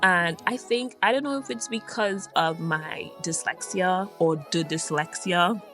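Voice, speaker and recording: A woman's voice: on the low side, measured pace, fairly bright tone, soft background music. A woman with a Trinidadian accent, chatting casually as she narrates her day in a vlog, rambling from one topic to the next. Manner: chatty, digressive and upbeat. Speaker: vlogger.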